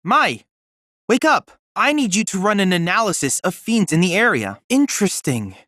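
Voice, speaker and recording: Teenage boy android assistant, polite, eager, very animated pitch, precise formal diction, dry close sound, video game dialogue. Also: normal speed, no echo, exaggerated voice. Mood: earnest briefing. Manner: precise, formal, articulate, earnest.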